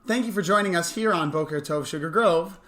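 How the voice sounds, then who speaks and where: Guy hosting a morning show, voice a bit high, casual upbeat announcements. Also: brisk, a loud crowd. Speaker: show host.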